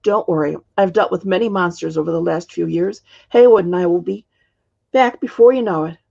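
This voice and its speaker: A capable storybook magician, read out by a woman, brisk and decisive. Her voice is creaky and husky, and the recording is a little muffled. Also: lowish voice, mellow. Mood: firm. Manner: matter-of-fact, decisive. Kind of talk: storybook character reading.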